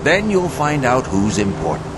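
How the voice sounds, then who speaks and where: An actor playing a self-assured camp mentor in a video game, explaining things in an instructive way. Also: male voice, medium pitch, sing-song, moderate pace, noisy background, natural voice. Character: confident, shrewd male camp mentor. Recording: music behind the dialogue.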